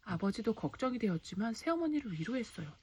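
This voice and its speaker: A woman's voice: on the high side, big pitch swings, fast, darkish tone, a little hiss or hum. A woman narrating a children's folktale expressively, as a mother reading at bedtime. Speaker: storybook reader, a mother.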